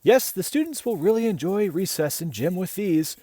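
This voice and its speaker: A man's voice: high voice, animated, normal speed, dark tone, dry recording, studio quality, voice pushed up. A man voicing a friendly, grateful male squirrel gym teacher in a children's storybook, polite and upbeat.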